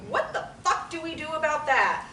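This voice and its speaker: A woman's voice: sits high, very animated, normal speed, bright, forceful tone, full character voice. A woman reading a brash, foul-mouthed young woman in group therapy, sarcastic and confrontational. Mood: angry, mocking. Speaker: table-read actor. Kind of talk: script table reading. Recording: roomy, distant mic, light hiss.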